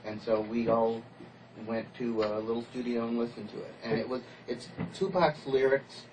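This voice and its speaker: A man's voice: medium pitch, steady tone, normal speed, far from the mic. Man explaining things in a noisy interview. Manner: explanatory, illustrates with examples. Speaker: interview guest, playwright and producer. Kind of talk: casual radio interview.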